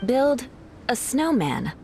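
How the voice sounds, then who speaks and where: A woman's voice: high-pitched, very animated, gravelly, background noise, full character voice. An actress playing a cool, aloof and elegant young woman knight in an anime-style game. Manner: poised, haughty, measured.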